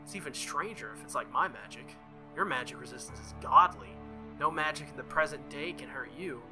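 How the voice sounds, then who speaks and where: A man's voice: a little high, a little fast, music in the background, natural voice. A man voicing an earnest, hesitant teenage boy hero in a visual novel. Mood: puzzled.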